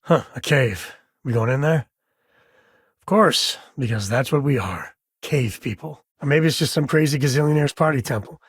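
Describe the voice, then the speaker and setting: A man's voice: mid-range voice, moderate pace, breathy edge, no echo, clean studio sound, close to an everyday voice. An actor playing an easygoing, wry, middle-aged spacefaring adventurer and father in a video game, talking in a folksy, colloquial way. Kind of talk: video game character dialogue.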